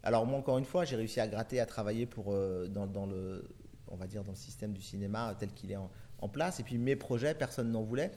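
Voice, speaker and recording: A man's voice: fairly low pitch, quick pace, dark tone, slight background noise. A middle-aged man from France, a film director answering interview questions in long, rambling turns full of restarts and fillers.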